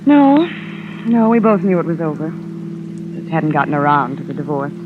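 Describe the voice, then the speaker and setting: An actress playing a dignified, wistful widow in a 1950s radio drama, speaking with poise and halting phrases. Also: female voice, deep voice, big pitch swings. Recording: tinny, noisy vintage radio recording.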